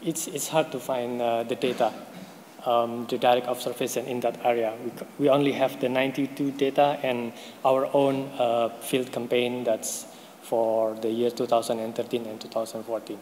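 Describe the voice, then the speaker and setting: A man's voice: medium pitch, little pitch movement, normal speed, a little mellow. A man giving a technical conference presentation and answering questions, hesitant, with many fillers and restarts. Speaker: presenting researcher. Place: conference hall. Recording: hall reverb, faint noise.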